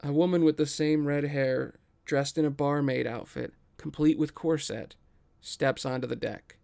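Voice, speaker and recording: A man's voice: on the high side, steady tone, unhurried, vocal fry, a little mellow, smooth. A man reading scripted stage directions as the narrator of a table read.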